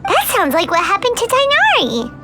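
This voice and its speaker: A cheeky little fairy sidekick from a video game. The voice is squeaky, childlike and extremely high, bouncing around excitably, with music clearly playing behind it. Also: female voice, normal speed, exaggerated voice. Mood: curious. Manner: blurting, refers to herself by name. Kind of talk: scripted video game character acting.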